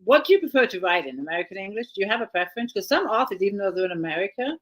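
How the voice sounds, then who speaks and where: A woman's voice: mid-range voice, big pitch swings, very fast. A woman hosting a live video chat with an author, upbeat and chatty, greeting viewers by name and asking questions. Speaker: host. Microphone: video call.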